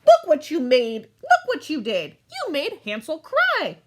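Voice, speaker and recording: A woman acts out a confident little girl from a storybook read-aloud. She is bossy and stubbornly sure of herself, with a high voice and very animated, argumentative delivery. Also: measured pace, full character voice. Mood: scolding. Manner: assertive, matter-of-fact, argumentative.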